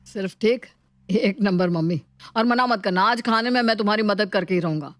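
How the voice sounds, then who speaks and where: A woman's voice: mid-range voice, a little fast, dry recording, natural voice. A woman voicing an irritable, lovestruck young male office worker in casual, slangy Hinglish for a story. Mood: eager, nervous. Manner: casual Hinglish slang, grumbling or musing to himself.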